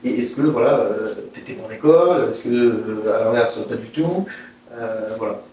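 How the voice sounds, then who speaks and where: A man's voice: medium pitch, moderate pace, warm tone. Distant male interviewer in an echoey room. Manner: hesitant, loosely phrased. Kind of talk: informal interview questioning. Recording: very echoey room, distant mic, faint noise.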